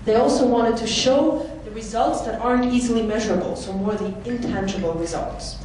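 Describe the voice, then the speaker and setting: Woman, from the Netherlands, conference presenter, clear explaining, fairly high pitch, very echoey hall, distant, background noise. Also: a little fast, a little mellow. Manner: clear explanatory delivery with occasional fillers. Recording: echoey hall, mic from far off, noisy.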